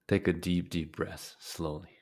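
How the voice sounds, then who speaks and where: Very deep-voiced man guiding meditation. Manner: calm, deliberate, with frequent pauses. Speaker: guided meditation teacher. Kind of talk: guided meditation narration.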